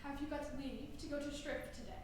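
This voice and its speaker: A woman's voice: high-pitched, little pitch movement, a little fast, natural voice. Fussy, teasing old nurse, played by a woman, distant stage sound with faint noise. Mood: scolding. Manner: complaining, dawdling, theatrical. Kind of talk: stage Shakespeare acting. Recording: echoey hall from far off.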